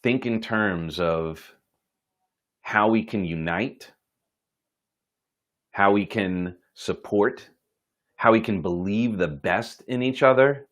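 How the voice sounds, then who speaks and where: A man telling a motivational story in an informal, folksy and earnest way, pausing for dramatic effect. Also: on the low side. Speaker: host telling a moral story.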